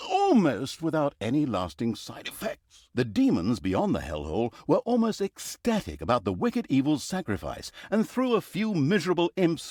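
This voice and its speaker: Theatrical sarcastic male narrator for an evil fantasy game, super animated, wry asides, really dry recording. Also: mid-range voice, dry recording. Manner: mock-dramatic, wry asides. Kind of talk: scripted comic storybook narration.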